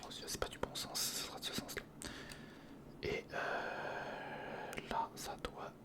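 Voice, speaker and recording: A voice that stays in a whisper throughout, breathy and without tone. It is an ASMR creator commenting casually on a build, muttering and thinking aloud in short phrases. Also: slow pace.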